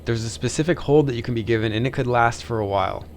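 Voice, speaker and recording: A man's voice: medium pitch, fast, a little hiss or hum. A man narrating a flying vlog in an informal voice-over, as the pilot telling his own story.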